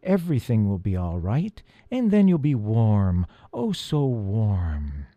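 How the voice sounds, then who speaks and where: A man voicing sleep as a seductive, soothing spirit in a storybook, slow and lulling. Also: fairly low pitch, big pitch swings, mellow, no room sound.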